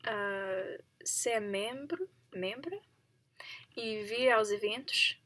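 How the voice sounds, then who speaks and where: Slow, hesitant young woman practicing languages. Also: mid-range voice, a bit bright. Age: 20s. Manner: hesitant, halting, laughs often; self-correcting, searching for words. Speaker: language learner vlogger. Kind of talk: casual practice monologue to camera.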